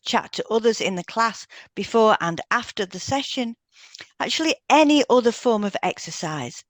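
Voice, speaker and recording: A woman's voice: medium pitch, dry recording. A woman co-hosting a podcast, reading out step-by-step instructions from a script with brief asides. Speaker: podcast co-host, hypnotherapist.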